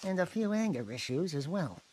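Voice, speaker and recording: An actor voicing a small, cocky, cheeky furry sidekick in a video game, with brash joking delivery. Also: male voice, high voice, very animated, fairly quick, darkish tone, slightly rough, exaggerated voice. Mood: boastful, teasing. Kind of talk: animated video game cutscene acting.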